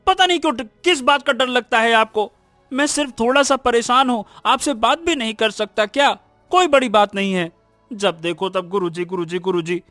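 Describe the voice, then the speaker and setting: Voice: medium pitch, moderate pace, a bit bright, no echo, soft background music, close to an everyday voice. A performer voicing Raju, an impulsive, emotional young son in a cartoon moral story, often repeating words. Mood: irritated. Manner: stammering, repeats words when anxious. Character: Raju, greedy boastful young man turned desperate, remorseful son.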